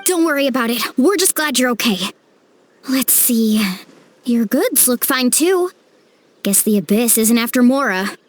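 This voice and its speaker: A woman's voice: a little high, a little slow. A woman voicing an earnest, eager young girl warrior in a video game. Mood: reassuring. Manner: earnest, animated. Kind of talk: video game character acting.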